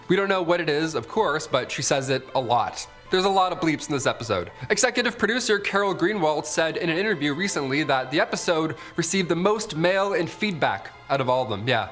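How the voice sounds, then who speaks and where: Casting: male list-show host, fairly high lively voice, wry comic asides, music underneath. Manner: wry, joking, mimics reactions. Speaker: top ten list video host. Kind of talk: conversational countdown narration with comic asides. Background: background music.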